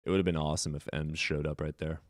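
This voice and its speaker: A man's voice: very deep voice, level tone, quick pace, no room sound, studio quality. A man hosting a live call-in debate stream, combative, sarcastic and profane.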